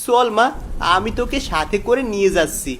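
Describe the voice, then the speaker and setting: A man's voice: high-pitched, lively intonation, normal speed, noisy background. A man playing a protective, kindly village father in a cartoon fairy tale, earnest and firm. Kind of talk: animated fairy tale character acting.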